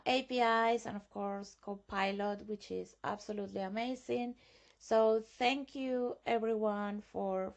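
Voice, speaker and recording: A woman from Venezuela presenting a tech webinar, enthusiastic and given to repeating words. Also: fairly high pitch. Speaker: guest speaker presenting a webinar. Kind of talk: presenting slides.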